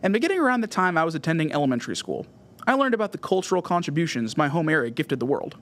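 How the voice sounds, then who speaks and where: Higher-voiced Californian man with swooping intonation. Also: sing-song, rapid-fire, fairly bright tone. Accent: Californian American. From California, USA. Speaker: music podcast host. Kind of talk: scripted narration. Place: podcast studio.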